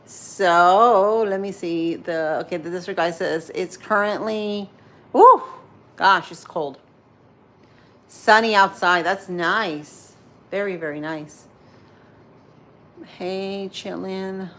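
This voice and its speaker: Woman, 30s, Spanish-influenced accent, lower voice; rambling, friendly livestream host reading out comments. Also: takes it slowly, long pauses, a bit bright. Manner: chatty, rambling, answers viewer comments as she goes. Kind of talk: casual morning livestream chat while cooking.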